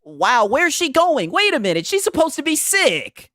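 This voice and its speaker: Animated man doing mocking reaction commentary. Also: very high voice, moderate pace, no room sound, clean studio sound. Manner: mocking, incredulous, often tags sentences with "right?". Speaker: commentary host. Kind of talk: casual reaction commentary on streamed clips.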